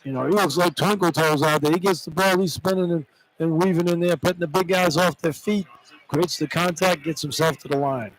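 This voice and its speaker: A man's voice: a little high, steady tone, brisk. A man giving informal, opinionated colour commentary on a live radio basketball broadcast. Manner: informal, plain-spoken, opinionated. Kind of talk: conversational live basketball analysis. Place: courtside in a college basketball arena. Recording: live arena broadcast with clear background noise. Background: noisy arena ambience.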